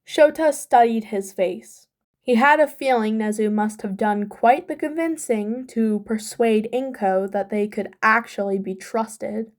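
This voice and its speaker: A woman narrates a piece of fiction as an amateur audiobook reader. Her voice sits a little high, and she reads from a script in storytelling prose. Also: a little slow.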